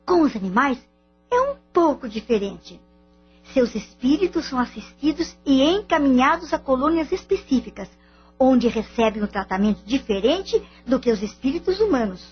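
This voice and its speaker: Actress doing patient earnest lady explaining stuff in a radio drama, high voice, bit muffled, music behind. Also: very animated, moderate pace. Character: patient, earnest woman explaining spiritual beliefs.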